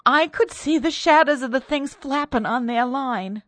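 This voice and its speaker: A woman's voice: medium pitch, lively intonation, drawn-out words, darkish tone, husky, no room sound, voice pushed up, exaggerated voice. A woman narrator voicing a terrified middle-aged country maid in a storybook, faint, gasping and hesitant. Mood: horrified, faint.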